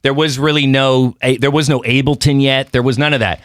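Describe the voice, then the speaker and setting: A man from Mexico hosting a podcast in a studio, chatty, animated and slangy in casual interview talk. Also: mid-range voice, a little fast, dry recording. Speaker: podcast host.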